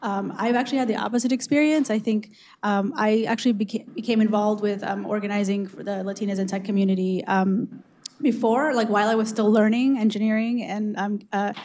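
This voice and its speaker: Mellow woman, halting panel answer. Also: mid-range voice, fairly even intonation. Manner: hesitant, many ums. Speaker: panelist, engineer.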